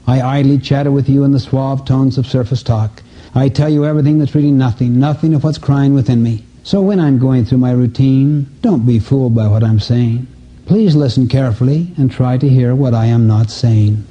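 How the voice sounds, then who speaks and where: A man reciting as an insecure, frightened soul, in an earnest, measured, confessional dramatic delivery on a dull lo-fi recording. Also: medium pitch, fairly even intonation, warm tone, natural voice. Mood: pleading, vulnerable.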